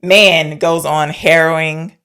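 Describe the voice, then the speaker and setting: A woman's voice: deep voice, steady tone, slow pace, fairly bright tone, smooth. A woman co-hosting a podcast, casually and playfully discussing films. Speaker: podcast co-host.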